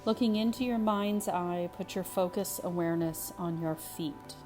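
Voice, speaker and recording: A woman leading a guided meditation in a soothing, unhurried way, speaking phrase by phrase with pauses. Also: lowish voice, darkish tone. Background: music.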